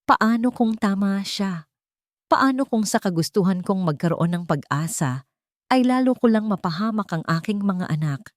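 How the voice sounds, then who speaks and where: A woman's voice: medium pitch, lively intonation, moderate pace, no room sound, pitched above the performer's own voice, natural voice. A woman speaks as a worried, conflicted father in an audio drama. The delivery is hesitant and doubtful, recorded dry and close. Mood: fearful doubt. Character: anxious, torn wealthy father, hesitant and worried. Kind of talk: audio drama character dialogue.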